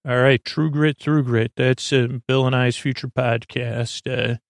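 A man hosting a sleep podcast with a meandering, improvised monologue, halting and often stammering as he doubles back on himself. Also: medium pitch, steady tone, gravelly, no room sound, studio quality. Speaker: podcast host.

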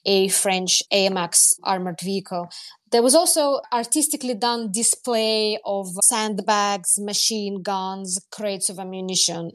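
A woman working as a news correspondent, giving a spoken report in a conversational way. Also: medium pitch.

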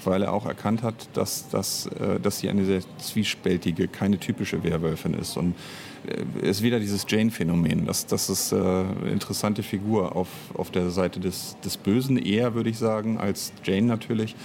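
A man giving a casual interview as an audio drama writer and director, speaking in a hesitant, measured way with pauses. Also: sits low, steady tone, creaky, a little mellow, a little hiss or hum.

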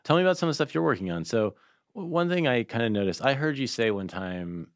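A man's voice: medium pitch, expressive, fast talker, no room sound. An American man hosting a casual studio interview, speaking in an informal, loosely phrased, enthusiastic way. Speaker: interviewer, podcast host.

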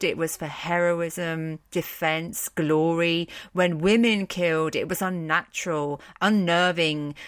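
Female podcast narrator, unhurried, opinionated and wry, in a dry studio-like sound. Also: fairly low pitch, a bit bright, no room sound. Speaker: podcast host. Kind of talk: informal scripted narration on mythology.

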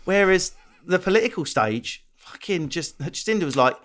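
A man hosting a podcast, joking and swearing as he chats, sometimes slipping into quick silly voices. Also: high-pitched, animated, fairly bright tone. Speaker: podcast host. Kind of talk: casual comedic podcast chat.

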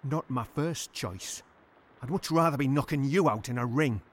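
An actor playing a defiant washed-up middle-aged boxer held hostage in an audio drama, blunt and combative, with a British accent. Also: male voice, medium pitch, normal speed, lightly acted.

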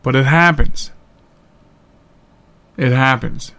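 A man's voice: mid-range voice, slow pace, bright, forceful tone, clear, resonant tone. A man hosting a podcast in a conversational solo monologue, with a folksy, earnest manner, often repeating phrases for emphasis. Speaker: podcast host.

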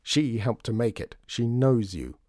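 A resentful storybook wife acted by a man, grumbling with sour complaint. It is an everyday mid-pitched voice in a dry recording. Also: measured pace, a little mellow, no room sound, natural voice. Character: angry, resentful shopkeeper's wife. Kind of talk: audiobook storytelling.

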